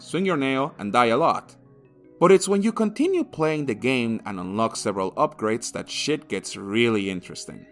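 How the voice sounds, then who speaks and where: Animated, sweary male game reviewer. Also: mid-range voice. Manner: crude, sweary, sarcastic. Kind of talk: comedic scripted review narration.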